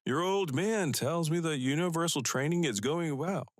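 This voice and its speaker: An actor playing a hearty, blustering older former hockey player in a full-cast audiobook. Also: male voice, a little high, normal speed, no echo, clean studio sound, lightly acted. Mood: hearty, needling.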